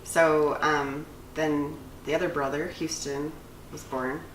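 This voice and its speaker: Low-voiced woman in her late thirties. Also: level tone, takes it slowly, roomy sound, distant mic, slight background noise, low-quality recording. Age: 30s. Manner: rambling, filler-heavy. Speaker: cosmetologist and instructor, channel co-host. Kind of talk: casual chat.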